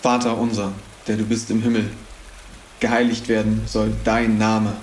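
A man's voice: lowish voice, level tone, moderate pace, a little mellow, slight background noise, natural voice. A man playing a fanatical young crusade leader. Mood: chanting prayer. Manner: fervent, chanting prayer and scripture. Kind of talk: radio drama acting. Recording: roomy reverberant recording.

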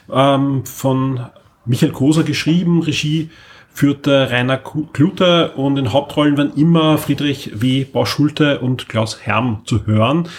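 A man with an Austrian accent hosting a casual podcast, rambling along with enthusiasm. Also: mid-range voice. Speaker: podcast host.

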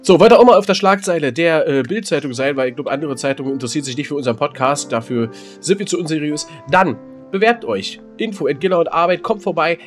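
A man's voice: on the high side, expressive, fast, few pauses, faint music behind. A man co-hosting a casual podcast, joking around and breaking into sung snippets. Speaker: podcast co-host. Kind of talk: casual chat.

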